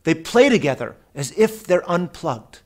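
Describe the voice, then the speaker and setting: Male narrator, slightly high voice, measured and precise delivery for an instructional product video. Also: very animated, a little mellow. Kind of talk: scripted narration.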